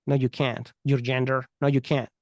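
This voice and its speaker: A man's voice: mid-range voice, level tone, moderate pace, dry recording, studio quality. A man answering as an expert podcast guest, earnest and emphatic, often repeating his phrases. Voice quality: thick foreign accent. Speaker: interview guest, immigration attorney. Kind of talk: unscripted podcast interview answers.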